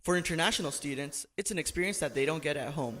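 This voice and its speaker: A man reads a scripted student TV news report, his voice bright and his delivery very fast. The playback carries a long reverb. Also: a little high, animated.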